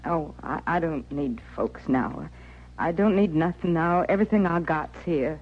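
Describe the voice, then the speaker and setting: An actress with an American accent playing a worn, stubborn young widow on the prairie in plain rustic speech for a radio western. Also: female voice, low voice, measured pace. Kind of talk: old-time radio western acting. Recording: muffled old radio recording.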